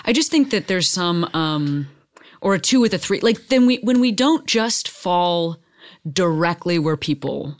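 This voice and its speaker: A woman with a deep voice hosting an interview, chatty and expressive, laughing often. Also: a little slow, dry recording, studio quality. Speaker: interviewer, host. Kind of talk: casual conversational interview.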